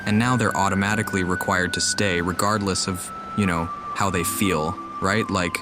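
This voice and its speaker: A man casually commenting over gameplay, thinking out loud in a relaxed way. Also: deep voice, normal speed, loud music behind the voice. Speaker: let's play gamer.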